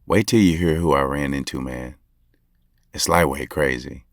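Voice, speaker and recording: A man's voice: extremely low pitch, normal speed, dry recording, studio quality. A male actor with an American accent playing a lovestruck, regretful basketball coach who confides in listeners in slangy, conversational audio drama narration. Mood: casual, excited. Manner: slangy, confessional, intimate. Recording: music playing under the voice. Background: music.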